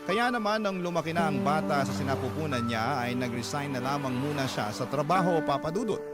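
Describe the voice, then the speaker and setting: Man voicing an earnest storyteller over loud music. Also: fairly high pitch, animated, brisk, close to an everyday voice. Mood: earnest, reflective. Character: earnest young family man recounting his life. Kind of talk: first-person radio drama narration. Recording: loud music bed under narration.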